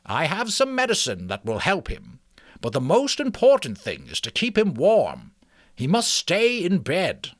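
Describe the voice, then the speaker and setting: An elderly mouse healer, acted out by a man for a storybook reading. The voice is lifted well up and swings widely in pitch. He is precise, authoritative and doctorly, stressing his instructions. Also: huge pitch swings, a little slow, dry recording, clean studio sound, full character voice. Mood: brisk, instructive. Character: very old wise male mouse healer, authoritative. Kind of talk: dramatic storybook character reading.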